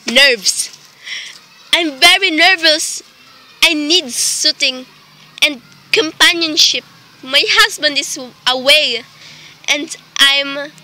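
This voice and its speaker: A woman's voice: sits high, takes it slowly, pauses often, sharp tone, a little hiss or hum, heavily acted, low-quality recording. A woman playing a nervous, demanding invalid wife in a dramatic radio play. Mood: fretful, demanding. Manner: imperious, dramatic, fretful.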